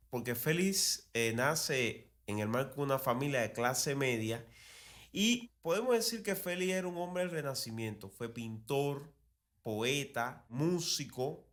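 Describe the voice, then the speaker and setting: Slow-paced Cuban man hosting a history talk. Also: on the high side, a bit bright. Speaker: podcast host. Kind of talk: explanatory talk on radio and TV history.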